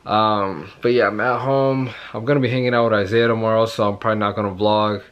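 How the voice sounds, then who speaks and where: A young man in his twenties, hoarse after yelling at a concert, talking excitedly and rambling in a casual vlog, filmed on a phone held at arm's length. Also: lowish voice, fast, highs cut off. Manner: rambling, excited, repeats himself. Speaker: vlogger. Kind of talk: casual vlog talk to camera. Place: bedroom at home, then walking on a suburban street outdoors.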